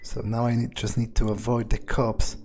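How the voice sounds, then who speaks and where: A man playing a sardonic, pragmatic vampire, muttering his thoughts aloud in a hesitant, deadpan way as in-character game commentary. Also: mid-range voice, moderate pace, a little hiss or hum, close to an everyday voice. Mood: musing, muttering. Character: sardonic, pragmatic male vampire sneaking around. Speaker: game player roleplaying a character.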